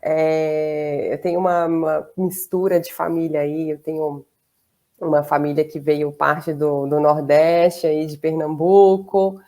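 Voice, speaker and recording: A woman's voice: low-pitched, little pitch movement, unhurried. A woman in her thirties with a Goiânia accent, chatting casually as an interview guest, with frequent fillers and small laughs. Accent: Goiás Brazilian Portuguese. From southern Brazil, raised in Goiás. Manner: chatty, warm laughs between phrases, many fillers.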